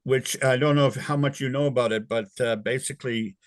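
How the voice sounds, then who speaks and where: An older man, born in England and raised in Canada, recalls his navy years in an interview, talking in a halting way with many fillers and restarts. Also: mid-range voice, steady tone, no echo. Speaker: interview guest, former navy sailor. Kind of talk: casual recollection in an interview.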